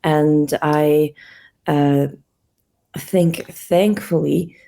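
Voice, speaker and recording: Woman, young adult, low flat voice, gravelly, mellow tone, hesitant with fillers. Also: drawn-out words. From Russia. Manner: hesitant, many fillers and restarts. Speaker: guest, NGO co-founder. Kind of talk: interview answers.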